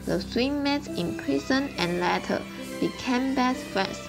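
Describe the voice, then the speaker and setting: A woman's voice: high-pitched, expressive, unhurried, vocal fry, over music, full character voice. A woman playing an investigator reporting on suspects in an amateur student radio drama. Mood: matter-of-fact.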